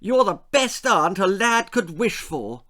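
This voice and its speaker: Man playing a high-pitched, jolly English schoolboy. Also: sing-song, slow pace, sharp tone, heavily acted. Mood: eager. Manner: jolly, plummy 1940s slang, exclaiming. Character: eager, polite English schoolboy in old-fashioned adventure story.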